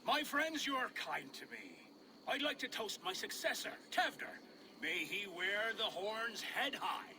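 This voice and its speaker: A man's voice: sits high, big pitch swings, a little slow, sharp tone, a little hiss or hum, full character voice, rough recording. A man playing a jovial, fatalistic medieval nobleman in a game, hearty and theatrical, with faint ambience behind him. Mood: hearty, touched. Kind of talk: video game cutscene acting.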